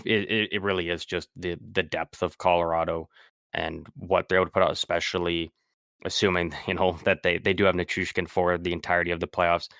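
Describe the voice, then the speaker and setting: A man co-hosting a casual sports podcast, talking haltingly with lots of ums while fighting a sore throat. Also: deep voice, vocal fry, fairly bright tone, no room sound, studio quality. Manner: halting, many ums and restarts. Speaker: podcast co-host.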